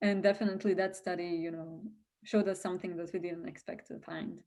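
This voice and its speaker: A woman's voice: lowish voice, flat delivery, fast talker, darkish tone. A young woman from Serbia, explaining research informally as an interview guest. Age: young adult.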